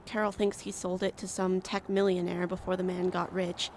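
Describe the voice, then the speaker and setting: A woman playing a sullen, defeated youngest daughter in a radio drama, speaking in terse, resigned phrases with a creaky voice. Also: on the low side, level tone, moderate pace, darkish tone, lightly acted. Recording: faint outdoor roadside noise.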